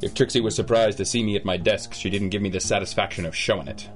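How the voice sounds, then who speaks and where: An actor playing a world-weary, wisecracking private detective in an old-time radio noir, with a deadpan, slangy delivery. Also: male voice, fairly low pitch, fast, faint music behind, close to an everyday voice. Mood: wry, world-weary.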